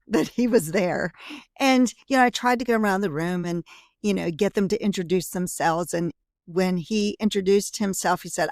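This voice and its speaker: A woman's voice: mid-range voice, dry recording, studio quality. A woman who works as a coach, telling personal stories as a podcast guest, with frequent "you know" fillers. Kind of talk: conversational interview storytelling.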